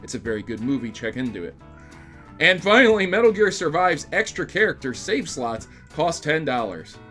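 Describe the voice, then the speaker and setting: A young man with a fairly high voice presents opinionated video game news commentary. His delivery is emphatic and sarcastic, leaning into a rant. Faint music plays behind him. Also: sing-song, normal speed, sharp tone.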